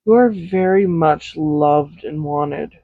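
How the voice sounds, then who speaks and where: A man's voice: on the high side, slow, dry recording, phone-quality sound. A man playing a tender, possessive villain in an intimate roleplay audio drama, speaking in a soothing, coaxing, reassuring way. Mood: earnest, seductive. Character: tender, possessive villain comforting a captive loved one. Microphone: close USB condenser microphone.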